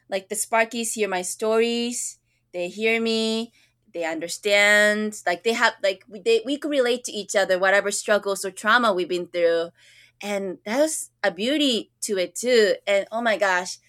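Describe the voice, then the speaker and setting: A woman's voice: mid-range voice, fairly bright tone, no echo, clean studio sound. A young woman from Japan, a school counselor, talking earnestly on a podcast microphone with many fillers and restarts. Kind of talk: casual interview conversation.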